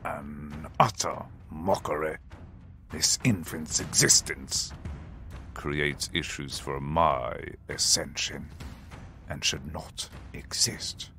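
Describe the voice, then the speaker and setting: An actor playing an arrogant, menacing dragon in humanoid form in a fantasy audio drama, pompous and grandiose, with a gravelly voice. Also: male voice, rumbling low, steady tone, slow, pushed and bright, soft background music, exaggerated voice. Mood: imperious.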